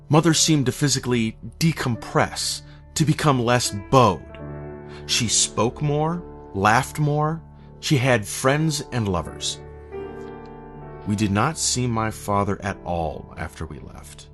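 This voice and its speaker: A man reading a scripted first-person horror story in a measured, somber, literary manner. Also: lowish voice.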